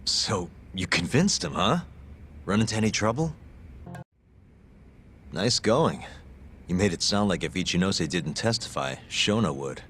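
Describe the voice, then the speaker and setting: An actor in an English game dub playing a wry, weary lawyer turned detective. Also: male voice, low-pitched, expressive, measured pace, pauses often, fairly bright tone, slight background noise. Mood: casual, grateful. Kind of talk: dubbed video game cutscene acting.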